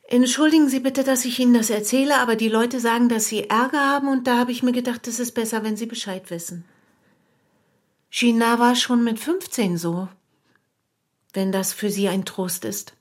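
A hotel maid played by an actress confides what she has seen in a medium-pitched voice. She is matter-of-fact and dutiful, a gossip who informs in a radio play. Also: lightly acted. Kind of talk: radio drama dialogue.

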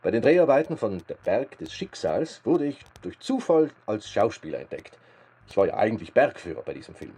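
Very deep, mellow, husky mountain man. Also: sing-song, a little fast, exaggerated voice. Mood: reflective. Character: humble, reflective South Tyrolean mountain guide turned actor. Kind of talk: radio play acting.

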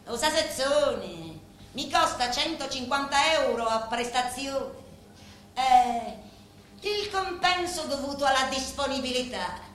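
An actress with a Sicilian accent playing a mob boss's bitter, taunting, sensual wife in a theatrical monologue. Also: female voice, high voice, fairly bright tone. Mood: mocking, taunting. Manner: scornful, deliberate, theatrical. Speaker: stage actress. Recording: very echoey stage hall, faint noise.

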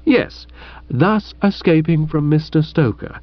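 A man doing an outraged, pompous young gentleman. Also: on the high side, animated, takes it slowly, dry recording. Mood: righteously indignant. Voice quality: huffy, declamatory, snorting. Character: indignant upper-class young Englishman, pompous. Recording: dull muffled recording.

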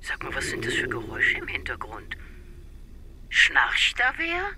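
Bossy elderly radio drama mom, needling and theatrical, swoopy voice, noisy line. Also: female voice, mid-range voice, wildly swooping pitch, unhurried, bright, forceful tone, full character voice. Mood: nosy, incredulous. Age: older. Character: overbearing, sarcastic elderly mother of a grown son. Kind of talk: German radio drama acting.

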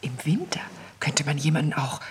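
An actress playing the naive, eager youngest of a group of genteel ladies in a radio play, with a husky, breathy voice. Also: female voice, deep voice, expressive, normal speed, exaggerated voice. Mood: dreamily morbid. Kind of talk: German radio play acting.

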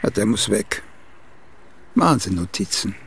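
Radio drama: vain ageing dramatist, heavily gravelled, unhurried, peremptory and pompous; faint noise behind. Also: male voice, fairly low pitch, animated, a bit bright, full character voice. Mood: demanding. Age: older. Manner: peremptory, muttering, pompous pronouncements. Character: vain, grumpy ageing celebrated male playwright, demanding and grandiose. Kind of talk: radio play acting.

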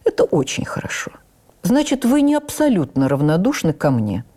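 A man's voice: high-pitched, huge pitch swings, normal speed, warm tone, heavily acted. A woman voicing an eccentric, impulsive male composer with a childlike streak and an emphatic, capricious manner, in a dramatised radio memoir. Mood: playful, cheerful.

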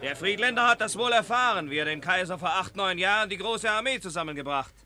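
A chatty soldier who retells camp stories in rhyming verse. His high, bright voice swoops up and down in pitch. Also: expressive, fast, heavily acted. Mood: lively. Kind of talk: classic verse radio play.

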